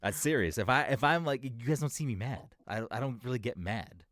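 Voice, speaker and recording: A man's voice: mid-range voice, animated, brisk, fairly bright tone, no room sound, studio quality. A young man from Massachusetts without much of a regional accent, chatting on a podcast, rambling through tangents with careful enunciation. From Massachusetts, USA. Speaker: podcast co-host. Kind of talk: casual chat.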